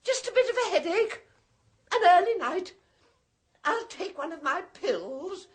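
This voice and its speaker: Brief: a timid wounded sister, very high voice, wavering, slow and tremulous. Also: female voice, big pitch swings, exaggerated voice. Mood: withdrawn. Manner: fragile, tearful, hesitant. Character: timid, gentle sister, easily hurt. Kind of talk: 1970s radio drama acting.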